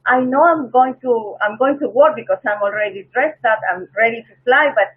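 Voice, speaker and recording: Husky-voiced woman from Peru. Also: on the high side, brisk, phone-quality sound. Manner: chatty, storytelling with many fillers. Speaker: guest. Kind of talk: interview over a video call.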